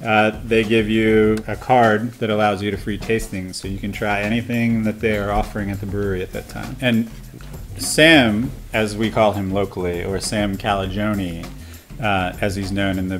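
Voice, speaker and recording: A man's voice: fairly low pitch, fairly even intonation, moderate pace, soft background music. A man in his thirties hosting a casual beer review show, explaining at length and upbeat with plenty of fillers. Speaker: show host. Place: indoor studio set with wooden backdrop.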